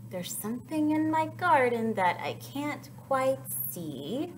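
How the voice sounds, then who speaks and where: A young woman leads story time for small children in a high, animated voice. She takes her time, speaking in a sing-song way as she reads and prompts her young listeners. There is some light background noise on the recording. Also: slow pace. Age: young adult.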